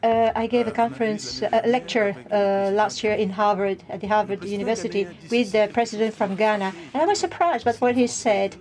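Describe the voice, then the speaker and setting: A woman giving a live simultaneous interpretation of a conference speech, in halting, piecemeal phrases with repeated words. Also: mid-range voice, a little mellow.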